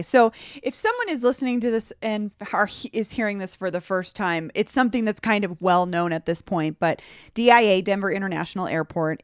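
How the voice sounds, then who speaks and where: A woman's voice: lowish voice, clear, resonant tone, dry recording, studio quality. A woman hosting a podcast in a casual, conversational interview style. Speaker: podcast host. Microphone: remote video call recording.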